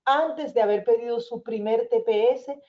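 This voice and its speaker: Woman immigration lawyer, Venezuelan accent, soothing explainer; slightly high voice, muffled webinar sound. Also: normal speed. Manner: reassuring, explanatory, addresses listeners as señores.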